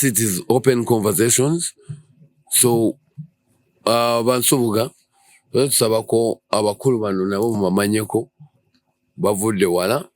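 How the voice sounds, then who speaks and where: Male host from Uganda, low voice, slow and easygoing, dry close sound. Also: a little mellow, dry recording. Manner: slow, loose, mixes in Luganda. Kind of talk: casual group conversation.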